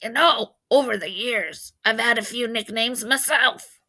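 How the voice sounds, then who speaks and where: A woman's voice: a little high, unhurried, pushed and bright, heavily acted. A woman reading a storybook, playing a kindly, teasing old grandfather who gives folksy, wry advice. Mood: fondly reminiscing. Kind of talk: storybook read-aloud character voice.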